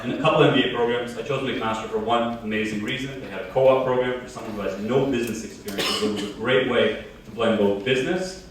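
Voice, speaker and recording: A man's voice: medium pitch, slight background noise. Man, keynote speaker, casual joking storyteller, distant mic, roomy hall echo. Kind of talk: live keynote talk. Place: large conference hall. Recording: very echoey hall, heard from afar.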